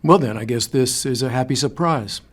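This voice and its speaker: An actor playing a firm but supportive agency director in an audio drama, measured and authoritative. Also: male voice, mid-range voice, close to an everyday voice. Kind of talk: audio fiction drama acting.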